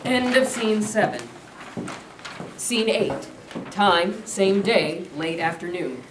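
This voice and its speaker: A woman's voice: medium pitch, expressive, slow. A woman reading scripted scene headings and stage directions at a staged play reading. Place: live staged reading in a room. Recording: distant, echoey, noisy room.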